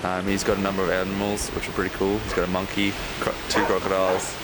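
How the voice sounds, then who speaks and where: A man's voice: low-pitched, monotone, fast talker, heavy background noise. A man co-hosting a travel vlog, talking casually outdoors by a road with plenty of ums as he thinks aloud. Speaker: travelling cyclist and surfer, co-host. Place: outdoors by a roadside. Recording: loud outdoor ambience behind.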